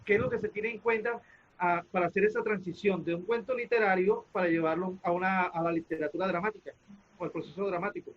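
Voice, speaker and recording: A man's voice: high-pitched, steady tone, fast talker. A man interviewing in a conversational way. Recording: thin phone-like call audio.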